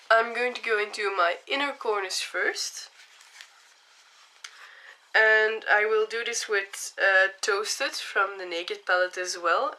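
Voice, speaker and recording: A young woman talks through a makeup tutorial in a chatty way, her voice hoarse from a cold. Her tone is bright and forward, and she takes her time, speaking slowly and explaining each step plainly. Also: mid-range voice.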